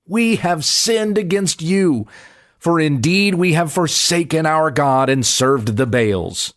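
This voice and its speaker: A man reads scripture aloud as a pastor would, working from the text. His voice sits at a middling pitch and moves widely up and down. The delivery is deliberate and measured, with clear expression in the phrasing.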